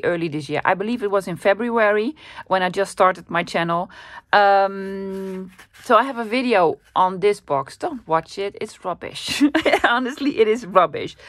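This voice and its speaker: A woman from the Netherlands with a Dutch accent, hosting a casual, chatty paper craft tutorial at her desk and often pausing with fillers. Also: mid-range voice, dry recording, clean studio sound. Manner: chatty, self-deprecating, with frequent fillers. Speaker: craft channel host.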